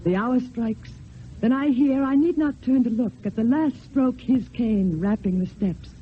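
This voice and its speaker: Woman acting devoted grieving widow, old radio play, very proper measured diction, thin crackly sound. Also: a little high, natural voice. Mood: composed, fond. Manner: formal, measured, theatrical diction. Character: Roxanne, grieving devoted French widow living in convent. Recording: tinny, noisy old radio recording.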